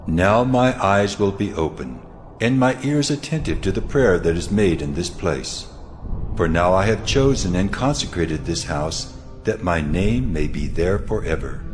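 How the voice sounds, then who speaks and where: Man voicing majestic deity, deep pitch, animated intonation, solemn, measured, scripture drama. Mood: solemn, promising. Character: God, solemn majestic male deity speaking promise and warning. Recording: background music under the voice.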